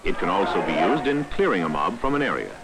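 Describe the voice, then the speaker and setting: A man's voice: low-pitched, very animated, fast talker, low-quality recording. A man narrating a 1960s training film from a script in a formal, authoritative, instructional manner, on a vintage soundtrack.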